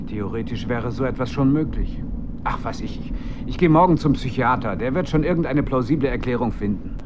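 Ein Schauspieler spielt in einem deutschen Hörspiel naturalistisch einen ernsten, beunruhigten Mann, der an seiner Wahrnehmung zweifelt. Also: male voice, mid-range voice, fairly quick, natural voice. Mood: troubled. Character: troubled, doubting sober man unsettled by hallucinations. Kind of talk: naturalistic German radio drama acting. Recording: street and car noise behind.

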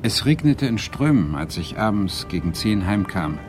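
An actor playing a reflective male first-person narrator, measured and literary, in a radio play. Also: lowish voice, sing-song, music in the background.

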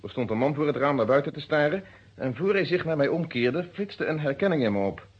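A male police inspector in an old detective radio play. He is methodical and unyielding, wording things formally and precisely in a husky voice. The recording is dull and lo-fi. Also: fairly high pitch, animated. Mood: insistent. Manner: formal, precise, deliberate phrasing. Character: methodical, unyielding police inspector questioning his own friend. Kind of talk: Dutch detective radio drama acting.

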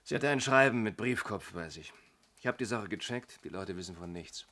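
A man's voice: lowish voice, lively intonation, moderate pace, pushed and bright. An actor playing a shrewd detective reporting to his inspector in a laconic, knowing way, in a 1970s radio play. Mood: wry, confident.

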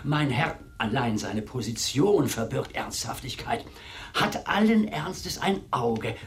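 An actor playing a fawning manservant turned matchmaker, florid and theatrical, in a radio drama. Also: male voice, medium pitch, big pitch swings, slow. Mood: earnest, flowery.

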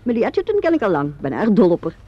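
A woman's voice: mid-range voice, wildly swooping pitch, racing, warm tone, dry recording. An actress playing a self-possessed, teasing woman of the theatre in a radio drama, bantering and flippant. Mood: composed. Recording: dull lo-fi radio play recording.

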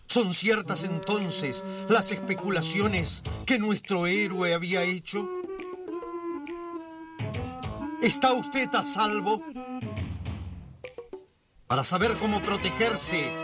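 A man's voice: sits high, slow. A man acting as the solemn, suspenseful narrator of a melodramatic radio thriller serial. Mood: urgent, dramatic. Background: music.

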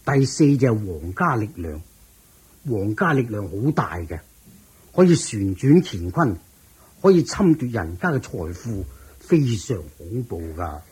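A man playing a kindly, worried older master of a wealthy household in a Cantonese radio drama, sighing and measured, with a Hong Kong accent. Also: lowish voice, very animated, darkish tone, breathy edge, full character voice. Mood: explaining.

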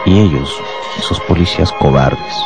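An actor playing a smug, contemptuous anarchist bomb-maker in a radio drama, speaking deliberately. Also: male voice, deep voice. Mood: menacing, boastful. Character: small shabby anarchist bomb-maker, fanatical, contemptuous, boastful. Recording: loud music behind the voice.

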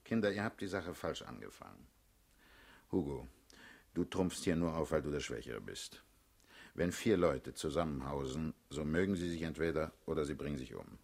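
A man's voice: very deep voice, lively intonation, normal speed, creaky, a little mellow, breathy edge, heavily acted. An actor in a German radio drama playing a shrewd, blunt male party leader who lectures with paternal authority. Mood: firm, reasoning.

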